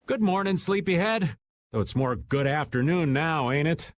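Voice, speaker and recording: A man's voice: a little high, expressive, moderate pace, lightly acted. An actor playing a laid-back, wily older man in a video game, talking in a casual, folksy, theatrical way. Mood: teasing, breezy. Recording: music under the voice. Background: game background music.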